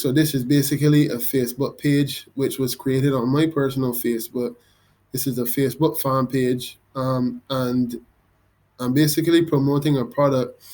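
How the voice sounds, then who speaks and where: A young Barbadian man walks through his screen in a loose, unscripted way. He hesitates often, fills gaps with "um" and restarts his sentences. His voice sits at an ordinary middle pitch. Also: a little mellow. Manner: hesitant, many ums and restarts. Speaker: vlogger. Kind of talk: casual screen-recorded walkthrough.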